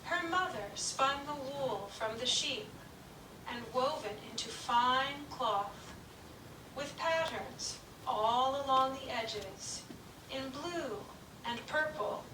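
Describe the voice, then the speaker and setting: A woman narrating a children's puppet show story in a slow, gentle, clearly paced reading. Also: high voice, noisy background. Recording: echoey room, distant mic.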